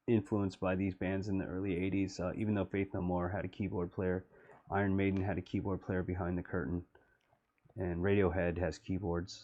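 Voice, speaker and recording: A middle-aged man talking through his own music video, slow and wistful. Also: dark tone, dull audio. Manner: low-voiced, slow and wistful. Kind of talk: reflective commentary.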